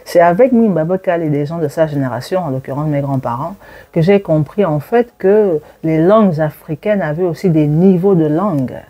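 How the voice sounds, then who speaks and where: A woman in her forties from Gabon, a university lecturer speaking in an interview and recalling her childhood in a reflective way, pausing with fillers and laughing now and then. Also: low-pitched, mellow. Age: 40s. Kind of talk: interview, personal testimony.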